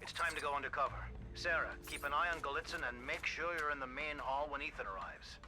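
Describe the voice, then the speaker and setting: A man playing a composed, middle-aged spy team leader giving measured, businesslike mission briefings in a video game. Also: fairly high pitch, close to an everyday voice. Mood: instructing. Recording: loud music behind the voice. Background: loud music.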